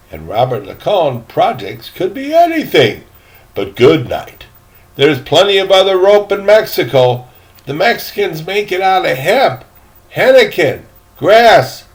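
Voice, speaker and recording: A man's voice: sits high, pitched above the performer's own voice, exaggerated voice. A man voicing a curious, bewildered young lad who asks naive questions in a storybook. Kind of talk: acted storybook dialogue.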